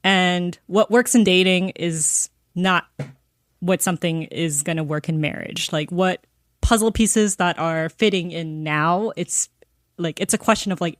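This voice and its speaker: A woman's voice: fairly low pitch, no room sound, studio quality. An American woman co-hosting a book podcast, blunt and laughing often as she chats and asks questions. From United States. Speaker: podcast co-host. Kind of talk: casual podcast chat.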